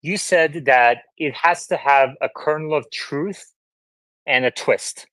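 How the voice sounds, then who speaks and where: A man's voice: on the high side, slow, fairly bright tone, dry recording. A man in his late thirties from Turkey, hosting a casual chat and steering it with curious questions. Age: 30s.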